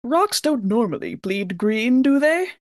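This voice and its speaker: A woman's voice: fairly high pitch, sing-song, unhurried, dry recording. Dry-sounding woman in casual game chat. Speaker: tabletop game player.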